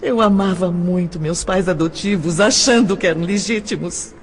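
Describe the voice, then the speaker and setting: A woman playing a sorrowful adopted orphan recalling her childhood in an emotive, deliberate audio drama monologue. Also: on the low side, faint music behind, lightly acted. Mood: wounded, sad. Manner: slow, emotive, deliberate delivery. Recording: long hall-like reverb.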